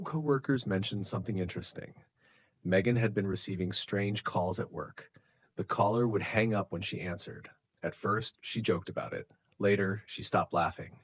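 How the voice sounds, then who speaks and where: Deep husky man narrating true crime. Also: no room sound. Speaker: narrator of true crime stories for English learners. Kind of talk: scripted suspense narration.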